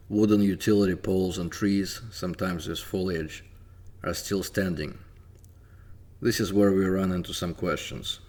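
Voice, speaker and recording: Deep-voiced man with a Russian accent. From Soviet Union. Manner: measured, deliberate, with frequent "uh" pauses. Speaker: independent history researcher. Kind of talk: narrated audio commentary.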